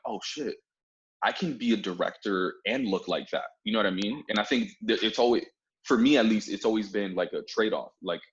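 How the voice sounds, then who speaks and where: A man hosting a podcast in a laid-back, encouraging way, with lots of fillers. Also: on the low side. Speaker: podcast host. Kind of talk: casual interview chat. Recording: dull lo-fi sound.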